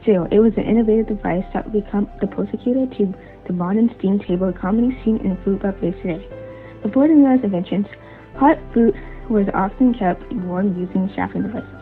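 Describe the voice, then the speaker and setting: A woman's voice: mid-range voice, brisk. A woman reading a scripted narration aloud as a volunteer reader. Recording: tinny phone-like audio under music. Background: music.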